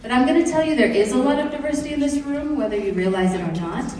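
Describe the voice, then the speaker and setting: Woman from Kenya, middle-aged, presents to students with lively, joking audience questions; heard from a distance in a long-reverb hall. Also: high voice, quick pace. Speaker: presenter to high school students. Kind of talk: classroom presentation. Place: large room or hall. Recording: echoey hall, voice from far off.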